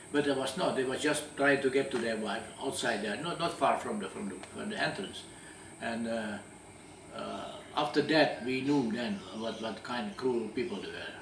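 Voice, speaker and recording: A man in his eighties from Indonesia, recalling his life in an interview, often restarting and repeating words. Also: mid-range voice. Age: 80s. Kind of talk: recalled wartime memories, conversational. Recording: roomy echo, distant mic, faint hum.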